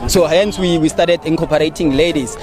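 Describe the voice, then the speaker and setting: Higher-voiced South African man, halting delivery. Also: steady tone, a crowd in the background. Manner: halting, repeats words. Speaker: event organizer, interview guest. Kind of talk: unscripted interview. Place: outdoors at a community sports tournament. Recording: outdoor event with music behind.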